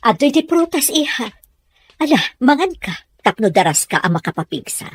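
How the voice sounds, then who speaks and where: Casting: actress as worried middle-aged mother, Ilocano Filipino accent, breathy husky tone, very animated delivery, audio soap. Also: fairly high pitch, moderate pace, dry recording. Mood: caring, concerned. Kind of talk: audio soap drama acting.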